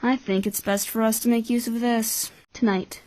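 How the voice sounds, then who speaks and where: A woman voicing a cynical, calculating twelve-year-old orphan boy in a measured, blunt anime-style fan dub. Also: fairly high pitch, steady tone, darkish tone, natural voice. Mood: cool, calculating.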